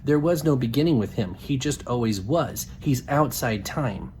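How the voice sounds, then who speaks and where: A man's voice: medium pitch, animated, normal speed, a little mellow. Noisy recording of a male puppet show host. Middle register, blunt and assertive, delivering religious rebuttals.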